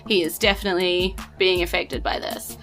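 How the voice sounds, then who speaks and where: A woman's voice: fairly low pitch, lively intonation, moderate pace, fairly bright tone. A woman with a New Zealand accent casually narrating a tabletop game as game master. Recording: music bed behind voice.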